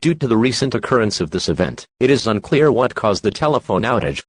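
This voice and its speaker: A man's synthetic-sounding voice reading a formal emergency bulletin as an announcer, flat and impersonal. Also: fairly low pitch, brisk, slightly rough, dry recording.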